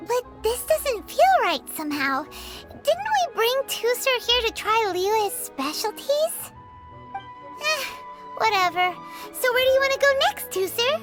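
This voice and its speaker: A childlike, chirpy little fairy sidekick from a video game. The voice sits extremely high and swoops with lively, bossy excitement. Music plays clearly behind it. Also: female voice, measured pace, heavily acted. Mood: grumbling. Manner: speaks of herself in third person. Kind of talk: animated video game dialogue.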